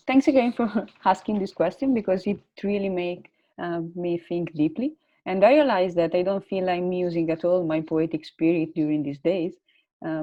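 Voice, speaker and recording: Panelist, woman, Italian accent, low voice, halting, thoughtful; lo-fi dry call audio. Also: no echo. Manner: thoughtful, searching for words, frequent uh. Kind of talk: reflective panel talk on a video call. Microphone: video call.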